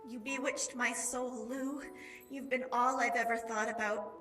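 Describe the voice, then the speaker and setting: A woman's voice: fairly high pitch, fairly even intonation, a little slow, a bit bright, distant mic, soft background music. A woman playing a lovelorn vaudeville starlet, earnest and melodramatic, in an improvised radio soap opera. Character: lovelorn vaudeville starlet reading her dying love letter.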